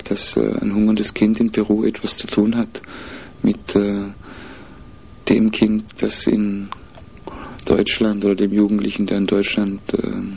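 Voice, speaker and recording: Gravelly, husky man speaking slowly and carefully. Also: lowish voice, darkish tone. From mixed German, Spanish, Italian and Peruvian roots. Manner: measured, hesitant, many fillers. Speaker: interviewee. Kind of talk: thoughtful interview answers. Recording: dull lo-fi recording.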